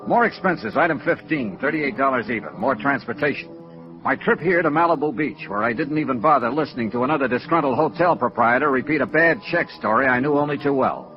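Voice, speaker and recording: An actor playing a matter-of-fact American insurance investigator in 1950s radio noir, narrating and questioning in clipped phrases. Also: male voice, medium pitch, expressive, brisk, soft background music, natural voice. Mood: crisp narration. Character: steady, businesslike male freelance insurance investigator. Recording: dull, band-limited vintage radio recording.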